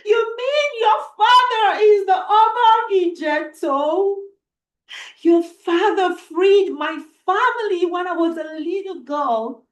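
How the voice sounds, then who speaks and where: A woman's voice: extremely high pitch, takes it slowly, reverberant, voice pushed up. A woman storyteller voicing a grateful, devoted servant woman in an emphatic, dramatic way. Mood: tearful, overwhelmed gratitude.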